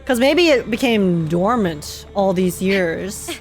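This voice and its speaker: A young woman giving casual, excitable game reaction commentary, giggly and thinking aloud as she goes. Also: mid-range voice, slow. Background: faint game music.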